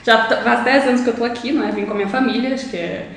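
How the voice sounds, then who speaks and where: A woman's voice: fairly high pitch, racing, gravelly, slight background noise. A woman in her twenties from Brazil, speaking with a Brazilian accent, chatting as a guest on a podcast. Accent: Brazilian Portuguese. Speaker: podcast guest, law student. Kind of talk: casual interview chat. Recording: echoey room.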